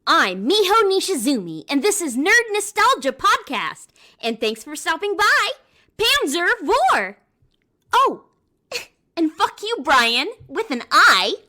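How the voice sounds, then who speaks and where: Woman doing a high, bright, cheeky anime girl. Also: sing-song, slow, exaggerated voice. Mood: playful, cheeky. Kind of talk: scripted podcast shout-out in character.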